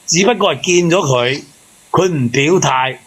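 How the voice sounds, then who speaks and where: A man speaks Hong Kong Cantonese in a fairly high register. He explains his view at length in an earnest, lecturing way, as a panel member advising a caller. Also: moderate pace, fairly bright tone, slightly rough. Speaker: panel member giving relationship advice to a caller. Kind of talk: casual advice talk on a call-in show.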